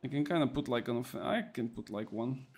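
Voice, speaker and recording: A young man streaming a game, excitably commenting, repeating words and calling people bro. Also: mid-range voice, brisk, breathy edge, soft background music. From Bulgaria. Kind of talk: casual gameplay commentary.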